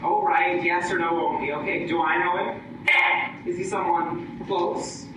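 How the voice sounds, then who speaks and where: A man's voice: sits high, fairly even intonation, a little slow, a bit bright. A man playing a wisecracking, pleading old miser in a comic old-time radio play. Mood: wry wisecracking. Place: live stage performance, picked up from afar. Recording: distant echoey stage recording with clear noise.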